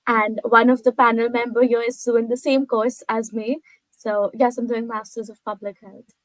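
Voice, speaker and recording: Higher-pitched Indian woman, dry sound. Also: flat delivery, moderate pace, no room sound, rough recording. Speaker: postgraduate student panelist. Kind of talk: answering questions on an online panel. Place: online video call.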